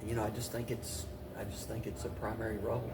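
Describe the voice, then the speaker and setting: A man speaking as an interview guest and city mayor, giving halting, measured answers and often repeating phrases. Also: fairly low pitch, flat delivery. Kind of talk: talk show interview answers. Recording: distant mic, clearly noisy room.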